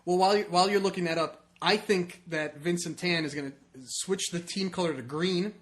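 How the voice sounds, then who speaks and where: Male podcast co-host, slightly high-pitched, conversational soccer chat, circles back over his own phrases. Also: a little fast, fairly bright tone. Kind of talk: casual soccer discussion.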